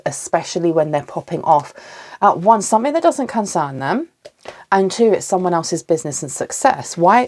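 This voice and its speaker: A woman's voice: on the low side, darkish tone. Woman reading tarot, slightly sniffly. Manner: musing aloud, pausing to ask the cards for clarity. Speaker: tarot reader. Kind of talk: solo tarot reading to camera.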